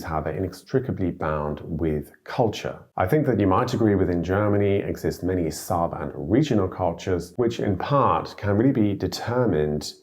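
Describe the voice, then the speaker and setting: Brit vlogger, deep and dry, wry delivery to camera. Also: male voice, darkish tone. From Britain. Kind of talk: scripted commentary to camera.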